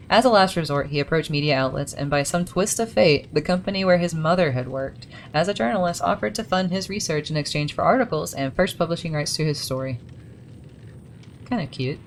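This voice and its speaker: Low, casual woman gamer reading lore, chatty asides, faint rumble underneath. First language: English. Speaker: gaming video creator.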